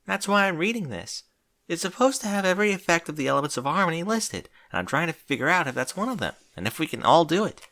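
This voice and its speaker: A man's voice: on the high side, very animated, quick pace, pushed and bright, voice pushed up, heavily acted. A man voicing a bookish, anxious female unicorn who speaks earnestly and fretfully in a storybook reading. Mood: worried, embarrassed.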